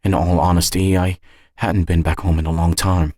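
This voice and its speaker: A man with a low voice narrates a short story in the first person. He is measured and reflective, and the sound is very dry. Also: little pitch movement, a little mellow, no room sound. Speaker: narrator and author.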